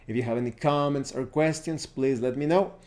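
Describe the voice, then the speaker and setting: Guy with a soft mellow voice, medium pitch, explaining stuff casually for his channel, stumbles and restarts words a lot. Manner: halting, self-correcting delivery. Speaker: YouTube host reviewing roleplaying games. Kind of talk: casual unscripted explanatory talk.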